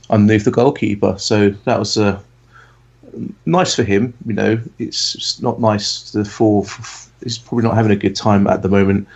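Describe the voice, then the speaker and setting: A man in his late thirties from England talks low and flat as he co-hosts a casual podcast. He rambles and hesitates with many ums, and his voice comes through on dull, lo-fi audio. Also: breathy edge. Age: 30s. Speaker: podcast co-host.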